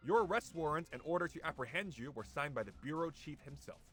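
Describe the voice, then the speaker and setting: A man's voice: a little high, animated, quick pace, fairly bright tone. An actor playing a smug male enforcer in a game, mocking and taunting as he talks. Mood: stern, official. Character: smug male enforcer officer making an arrest. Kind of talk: voiced game dialogue. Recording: music behind.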